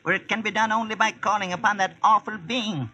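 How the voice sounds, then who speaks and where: A man's voice: sits high, steady tone, brisk, bright, forceful tone, a little hiss or hum. A man playing a scheming, self-assured court magician with ominous, formal archaic speech in a 1940s radio drama. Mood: smug, ominous.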